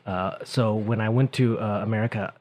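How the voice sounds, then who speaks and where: A man from Taiwan co-hosting a casual chat about learning English, talking in a relaxed way with many fillers. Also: lowish voice, normal speed. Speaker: co-host, English teacher.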